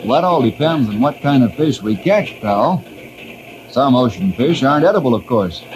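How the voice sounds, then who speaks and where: An actor playing a friendly, steady ranger leader in an old time radio drama, explaining things calmly. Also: male voice, medium pitch, normal speed, a crowd in the background, close to an everyday voice, low-quality recording. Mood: friendly, explanatory.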